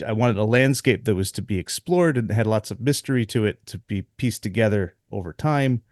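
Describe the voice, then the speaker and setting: A man's voice: on the low side, animated, a little fast, darkish tone, dry recording, clean studio sound. Chuckling, rambling man. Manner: laughing, rambling with restarts. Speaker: dungeon master and podcast co-host. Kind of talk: casual podcast chat.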